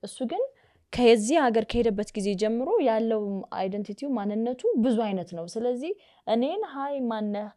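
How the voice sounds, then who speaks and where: A woman's voice: on the high side, expressive, racing, mellow. A woman with an Ethiopian accent, hosting a conversation in a casual chatting style. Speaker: podcast host. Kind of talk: casual chat, summing up and translating.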